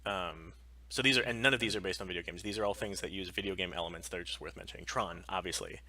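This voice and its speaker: A man's voice: sits low, steady tone, quick pace, bright, forceful tone, recorded from a distance. A man co-hosting a casual pop-culture live stream, talking in a sweary, enthusiastic, geeky way. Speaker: podcast co-host on a live stream.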